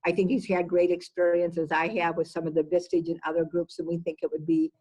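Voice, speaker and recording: A woman's voice: on the low side, little pitch movement, fast talker, darkish tone, slightly rough, low-quality recording. A woman executive giving conversational interview answers, emphatic and repeating words for stress. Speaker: business executive being interviewed.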